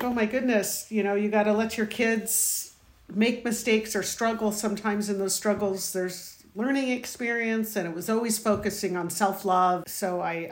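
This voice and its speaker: Middle aged mom, earnest, rambles proudly, roomy echo. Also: female voice, mid-range voice. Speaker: interviewee, the host's mother. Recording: echoey room.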